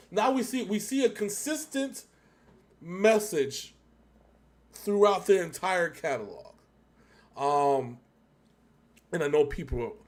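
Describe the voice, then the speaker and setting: A man hosting a casual video reaction, speaking in an emphatic, rambling way as he thinks aloud. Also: fairly high pitch. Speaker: reaction show host.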